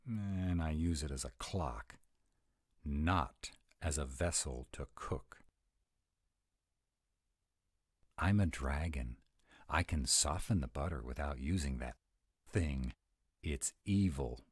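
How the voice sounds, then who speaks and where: A man's voice: very deep voice, breathy edge, no echo, studio quality, exaggerated voice. A man playing a grumpy, fussy, easily flustered were-dragon who loves food, in a dramatic, exasperated roleplay audio. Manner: exasperated, dramatic, slow and emphatic. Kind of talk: one-sided romantic comedy roleplay audio.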